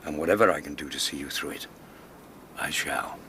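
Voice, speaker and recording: An actor playing a loyal, cautious gentleman doctor in a radio drama, speaking in a formal, courteous, measured way. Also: rumbling low. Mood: grave, resolute. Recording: outdoor ambience, water and vehicle noise.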